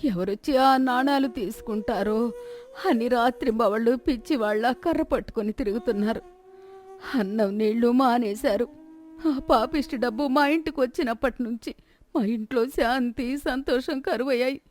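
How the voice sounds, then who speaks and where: A woman's voice: on the high side, normal speed, a little mellow, close to an everyday voice. A woman voicing a distressed village wife in a moral story, pleading and complaining about her troubles. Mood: tearful pleading.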